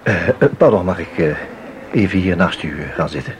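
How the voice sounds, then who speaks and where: A man's voice: low-pitched, brisk, lightly acted. A man acting in a Dutch radio play as a chatty, flustered ordinary art lover who rambles hesitantly and repeats himself. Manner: hesitant, rambling, full of repetitions and tag questions. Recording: audible background noise.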